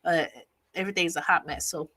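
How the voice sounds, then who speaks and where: A woman therapist explains family relationships in an educational talk. Her voice is bright and clear-edged but stuffy from allergies. She speaks haltingly, often pausing on "uh" and restarting her sentences. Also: on the low side, fairly even intonation. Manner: halting, with frequent "uh" fillers and restarts.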